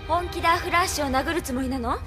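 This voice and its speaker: An actress playing an earnest, capable young resistance operative in an anime audio drama, speaking persuasively. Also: female voice, sits high, fast talker, long reverb tail, music in the background, lightly acted.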